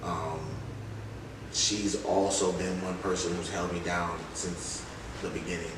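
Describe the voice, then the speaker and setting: Male music-artist guest, deep voice, slangy casual delivery, very reverberant room. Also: flat delivery, measured pace. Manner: informal, slangy. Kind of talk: casual chat. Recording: very echoey room, distant noisy mic.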